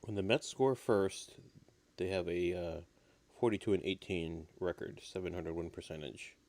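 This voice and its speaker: A man with a slightly raspy voice, getting over an illness, giving low-key, casual live baseball commentary as a stream host. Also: normal speed, darkish tone.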